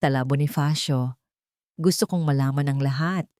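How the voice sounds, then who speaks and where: A wealthy, commanding matriarch from a romance story, as a woman narrator reads her. She gives orders in a fairly low, calm and steely voice. The recording is dry and close. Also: moderate pace, no echo, natural voice. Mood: cold command.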